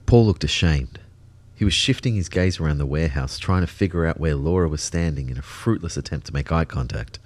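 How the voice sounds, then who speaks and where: A man playing a melancholy ghost who narrates his own story in measured, reflective audio fiction. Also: a bass voice. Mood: observant, pitying. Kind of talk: first-person scripted story narration.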